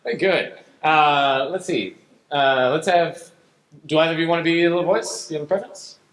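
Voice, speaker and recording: A bright male voice with a slightly high pitch, echoing on a stage. He hosts an improv show casually, with halting delivery. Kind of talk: casual live stage hosting. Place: live improv stage with audience. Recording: echoey stage with some noise.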